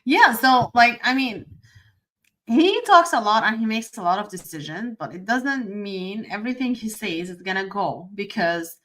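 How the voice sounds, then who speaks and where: A woman from Syria co-hosting a casual live-stream discussion, chatty and quick to laugh between points. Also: mid-range voice, expressive, bright, forceful tone, poor audio. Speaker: co-host.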